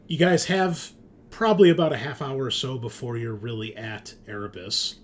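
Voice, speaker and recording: A man's voice: mid-range voice, normal speed, a little hiss or hum. A man narrating a tabletop roleplaying game as game master, explaining things conversationally and addressing his players directly.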